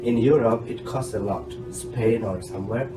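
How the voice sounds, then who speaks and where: A man's voice: lowish voice, normal speed. A man in his thirties with an Indian accent, a guest giving frank, emphatic advice on a podcast interview. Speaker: guest, doctoral graduate. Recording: echoey room with loud music bed.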